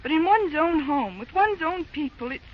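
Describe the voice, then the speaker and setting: High-voiced plucky young woman. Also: lively intonation, moderate pace. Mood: pained. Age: young adult. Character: spirited, determined, independent young career woman. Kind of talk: 1940s radio drama acting. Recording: muffled old radio recording.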